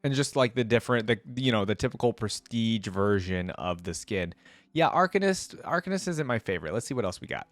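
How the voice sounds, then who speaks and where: A man's voice: fairly low pitch, expressive, fairly quick, no room sound. A man hosting a reaction video, giving casual, chatty commentary on a video game and thinking aloud in an easygoing way.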